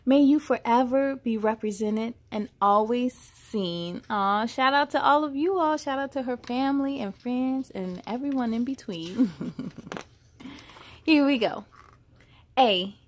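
Lady reading a kids picture book, kinda high voice, really slow, affirming, chats to the little ones. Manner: encouraging, affirming, addresses young listeners directly. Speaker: host reading aloud for children. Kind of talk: children's picture book read-aloud with casual comments.

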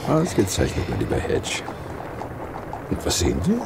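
An actor in a radio drama playing an imperturbable, haughty professor-detective with precise, formal, pompous speech. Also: male voice, extremely low pitch, wildly swooping pitch, normal speed, vocal fry, echoey room, background noise, exaggerated voice. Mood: unruffled.

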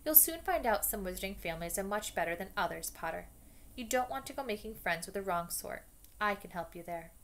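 A woman's voice: medium pitch, lively intonation, brisk, a bit bright, slight background noise. Snobbish rich boy, as a woman reader puts him on, drawling and taunting. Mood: smug. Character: sneering snobbish rich schoolboy.

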